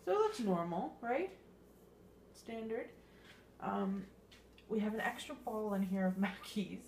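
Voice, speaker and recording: A woman's voice: mid-range voice, expressive, unhurried, a little mellow, a little hiss or hum. A woman in her thirties chatting casually in a home kitchen, recorded on a handheld phone, full of ums and small laughs. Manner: chatty, upbeat, filler-heavy. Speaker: vlogger. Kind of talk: casual clean-with-me vlog. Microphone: handheld phone camera.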